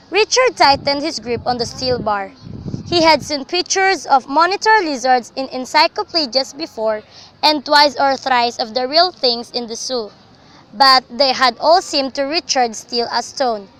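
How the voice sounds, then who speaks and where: A woman narrates a literary radio drama as a dramatic storyteller. Her voice is very high and bright. The amateur recording carries faint noise. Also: poor audio.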